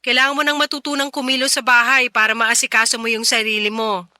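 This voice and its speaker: A woman's voice: fairly high pitch, little pitch movement, fast, bright, forceful tone, dry recording. A woman playing a tired, impatient but caring single mother, weary and scolding in a radio drama. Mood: tired, dismissive. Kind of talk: Tagalog radio drama acting.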